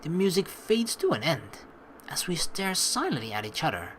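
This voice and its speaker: Young guy narrating a game playthrough, bright voice that swoops around, bit of background noise. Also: a little high, moderate pace, low-quality recording. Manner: animated, chatty, reacting as he reads. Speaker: let's play host. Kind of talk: let's play commentary, reading game text aloud.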